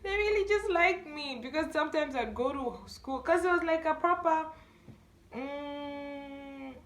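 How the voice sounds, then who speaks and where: A young woman with a Malawian accent tells a story casually to camera in an animated way, acting out bits of dialogue with interjections. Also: high voice, measured pace. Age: young adult. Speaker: storytime YouTuber. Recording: echoey room.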